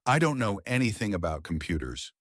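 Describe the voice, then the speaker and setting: A man's voice: on the low side, big pitch swings, a little fast, no echo, studio quality. A man voicing a humble, earnest and grateful working woman in a narrated story. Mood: disbelieving. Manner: earnest, polite.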